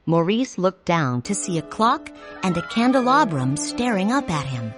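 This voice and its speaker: A woman narrating a read-along storybook in a clear, measured, expressive way. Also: on the low side, music in the background.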